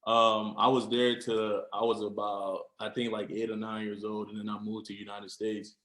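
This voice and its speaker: A laid-back young man from Cameroon talks in a low, flat voice, circling back with fillers as he answers. His voice sits in a roomy, echoing space. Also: moderate pace. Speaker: guest, football player. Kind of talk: casual interview. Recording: roomy echo.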